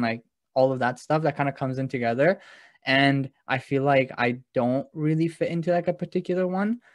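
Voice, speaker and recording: A man's voice: medium pitch, no echo, studio quality. A man co-hosting a casual podcast, rambling with constant uses of "like". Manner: rambling, heavy use of 'like'. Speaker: podcast co-host.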